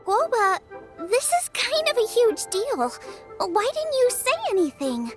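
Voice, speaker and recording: A bubbly, earnest young girl from a game, speaks in a very high voice with halting, expressive phrasing. The delivery is unhurried, with loud music behind it. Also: full character voice. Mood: bewildered, concerned.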